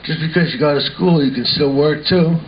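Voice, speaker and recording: A folksy older gardener voiced by a man grumbles plainly in a somewhat high voice. It is neighborly puppet show dialogue, caught on a dull home recording with light hiss. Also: brisk, close to an everyday voice. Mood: folksy, grumbling. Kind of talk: amateur puppet show acting.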